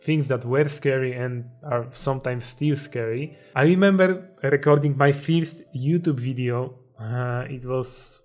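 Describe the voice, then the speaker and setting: A man's voice: medium pitch, a little slow, few pauses, a little mellow. A young man with a Polish accent, a native Polish speaker, giving a motivational explainer with slightly hesitant, non-native phrasing over background music. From Poland. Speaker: self-improvement video creator.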